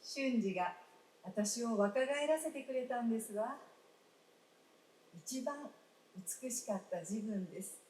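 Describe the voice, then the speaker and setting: An actress playing the spirit of a gentle young wife in a live radio drama, speaking tenderly in polite, old-fashioned feminine phrasing. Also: female voice, on the high side, takes it slowly, long pauses. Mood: wistful. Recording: roomy stage, distant mic, faint noise.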